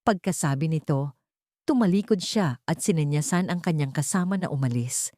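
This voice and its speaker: A woman's voice: deep voice, lively intonation, normal speed, dark tone, no room sound, studio quality. A woman narrating a scripted story in a dramatic audiobook style.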